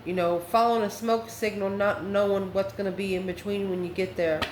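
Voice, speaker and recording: Female tarot channel host, mid-pitched voice, off-the-cuff and musing, recorded in a roomy space with faint noise. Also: steady tone, a little fast. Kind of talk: casual, improvised tarot reading.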